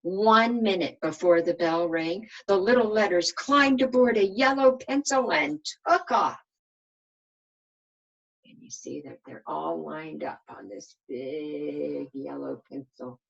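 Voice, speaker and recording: Woman doing kids read-aloud, really unhurried, lowish voice, expressive teacher style. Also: gravelly, muffled recording. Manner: expressive, asking listeners questions. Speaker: kindergarten teacher reading aloud. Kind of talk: children's storybook read-aloud.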